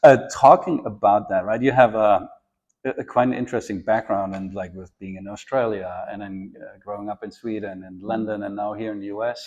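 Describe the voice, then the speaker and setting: A man with a fairly low voice hosts the interview, asking questions and steadily affirming his guest. His sound is dull and slightly muffled, like a lo-fi recording. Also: steady tone, fairly quick, darkish tone. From Germany. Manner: attentive, frequent affirming backchannels. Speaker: podcast host. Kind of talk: casual interview conversation.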